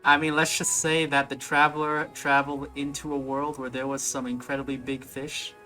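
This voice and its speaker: Bright-voiced man doing game commentary. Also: medium pitch, level tone, moderate pace, faint music behind, poor audio. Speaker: let's play streamer.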